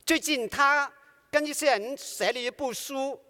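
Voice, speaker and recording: A man's voice: very high voice, a little slow, pushed and bright. A man hosting a conference, formally introducing the guest preacher. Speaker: conference host. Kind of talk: speaker introduction. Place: large church hall. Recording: hall reverb.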